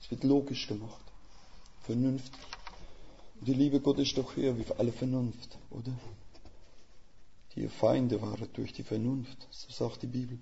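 An older man preaching as a guest speaker in a folksy, plain-spoken way, with a Swiss German dialect and a gravelly voice. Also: mid-range voice, level tone, normal speed, long pauses, dark tone, slight background noise. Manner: folksy, plain-spoken, with homely images and rhetorical questions. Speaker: missionary. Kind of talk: sermon. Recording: slightly dull lo-fi sound.

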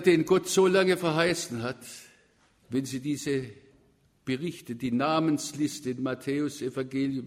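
A man's voice: mid-range voice, darkish tone. A man with a Swabian accent preaching a sermon, emphatic and at times rising to fervent proclamation. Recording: long reverb of a church.

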